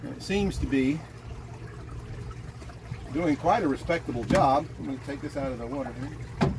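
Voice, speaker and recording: A man in his sixties casually demonstrating boat motors, talking in a lively, rambling way and correcting himself, recorded outdoors on a small boat by a mounted camera. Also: on the high side, normal speed, gravelly. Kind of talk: casual product demo. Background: motor and water noise.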